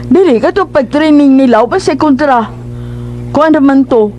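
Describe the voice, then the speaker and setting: A woman's voice: sits high, lively intonation, normal speed, warm tone, faint music behind. Cebuano woman chatting on radio. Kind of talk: casual chat.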